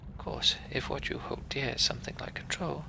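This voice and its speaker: A man voicing a calm, learned woman mender who speaks softly and measuredly. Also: medium pitch, fairly quick, gravelly, whispered, natural voice. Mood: musing.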